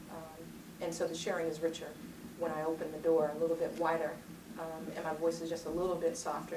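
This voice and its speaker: A confident middle-aged woman delivers a motivational keynote with an emphatic, rhythmic cadence, her voice sitting fairly low. She is picked up from a distance in a large, echoey conference hall, with clear background noise. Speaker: keynote speaker. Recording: roomy hall sound, distant mic, noisy.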